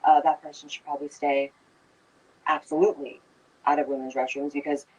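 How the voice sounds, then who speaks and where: A debater makes an argumentative, emphatic case, caught on a microphone set some way off. The pitch sits mid-range and the voice has a slightly distant, open sound. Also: little pitch movement, fairly quick, a little mellow, lo-fi sound. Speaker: debate participant. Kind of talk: heated debate.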